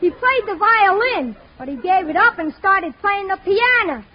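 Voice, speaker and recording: A woman's voice: very high voice, animated, moderate pace. A woman playing a cheeky, mock-polite little boy in a 1940s radio comedy. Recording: muffled 1940s radio recording, faint noise.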